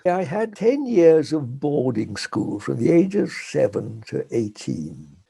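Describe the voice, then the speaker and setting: An older man talks slowly and reflectively as an interview guest. His voice is gravelly and husky, mellow and dark in tone, a little higher than most men's. He laughs softly between thoughts. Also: very animated. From England.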